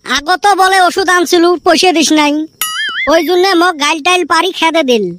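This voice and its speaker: A woman's voice: very high voice, brisk, background noise. A woman voicing a cheeky young village son in a comedy cartoon, whiny and pleading, with a northern Bengali Rangpuri accent. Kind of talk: comic cartoon dialogue.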